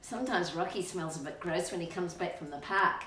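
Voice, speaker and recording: A woman's voice: on the low side, a little fast, a bit bright, slight background noise. A middle-aged Australian woman chatting to children and a pet in a playful, coaxing storytime manner, with sing-song questions. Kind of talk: chatty children's storytime, talking to kids and her dog. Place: indoors at home, on a couch. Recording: roomy echo, distant microphone.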